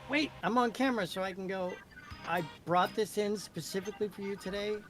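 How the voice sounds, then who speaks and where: A man's voice: high-pitched, brisk, a bit bright, soft background music. A man talking casually as co-host of a livestream. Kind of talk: casual chat.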